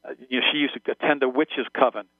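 A man speaking quickly over a tinny phone line, heard dry with no room around him. He rambles, stumbles and restarts, and German was his first language. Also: mid-range voice, fairly even intonation, no echo. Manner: rambling, repeats words and restarts often. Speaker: guest. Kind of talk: phone-in radio interview.

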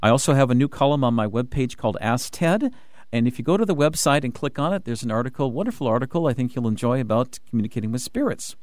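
A man hosting a radio talk show from the studio, talking in an excited rush with many restarts and fillers. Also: medium pitch, fast talker, no room sound. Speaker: radio host.

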